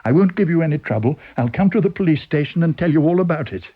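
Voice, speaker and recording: A man's voice: a little high, animated, fast talker, husky, phone-quality sound, pitched above the performer's own voice. A man voicing an agitated, frightened man who earnestly insists on his story in a dramatised story reading. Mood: resigned.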